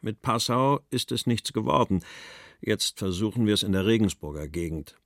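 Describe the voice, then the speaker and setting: A man's voice: fairly low pitch, animated, moderate pace, a bit bright, no room sound, studio quality. An actor playing a post-war German writer, reading out his letters and verse in a composed literary style.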